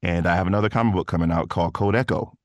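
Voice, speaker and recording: Deep voiced guy guesting on a podcast, slangy and rambly, really dry close sound. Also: very fast, no echo, lo-fi sound. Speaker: podcast guest, voice actor and artist. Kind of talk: casual interview chat.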